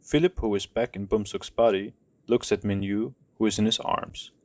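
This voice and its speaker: A man's voice: fairly low pitch, moderate pace, darkish tone, dry recording. A man narrating an audio drama from a script, telling a story in the present tense.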